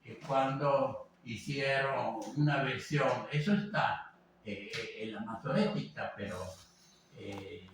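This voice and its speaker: A man teaching an informal class, talking haltingly with many fillers and tag questions. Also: medium pitch. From Venezuela. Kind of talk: informal lecture. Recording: echoey room, voice from a distance, faint background noise.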